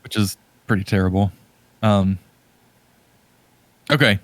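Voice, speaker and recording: A man's voice: fairly low pitch, slow pace, no room sound. A man co-hosting a podcast in casual chat, rambling and often hesitating and correcting himself as he goes. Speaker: podcast co-host.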